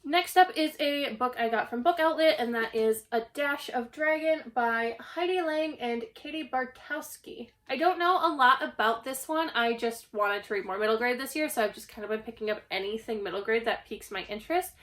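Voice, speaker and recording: A young woman with a slightly hoarse voice, recovering from a cough, chatting casually at home about a stack of books. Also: on the high side, moderate pace, few pauses, fairly bright tone. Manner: chatty, self-deprecating, rambling. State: hoarse, getting over a cough. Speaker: book channel host. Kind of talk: casual book haul vlog. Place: at home, indoors.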